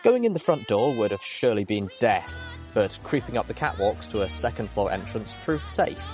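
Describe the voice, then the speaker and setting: A man's voice: fairly low pitch, big pitch swings, normal speed, a little mellow, soft background music. A man reading a first-person adventure story aloud as dramatic narration. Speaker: audiobook reader.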